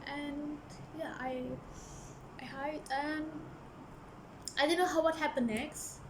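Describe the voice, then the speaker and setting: A woman's voice: sits high, steady tone, slow pace, slight background noise. A young woman with a Malaysian accent telling a personal story to the camera as a book vlogger, earnest and hesitant, often restarting her sentences. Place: church office.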